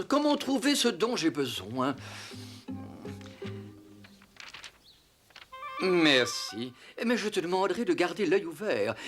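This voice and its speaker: Fussy, high-pitched pompous royal steward. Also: male voice, a bit bright. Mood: fretful, secretive. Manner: flowery, pompous courtly speech, flustered hesitations. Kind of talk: French cartoon dubbing.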